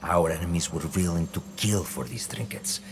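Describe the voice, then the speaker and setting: An actor playing a cold, calculating villain in a video game, speaking with deliberate, imperious menace. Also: male voice, sits low, a little hiss or hum, natural voice. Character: cold, scheming Templar villain, a calculating man of ambition. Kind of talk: video game dramatic acting.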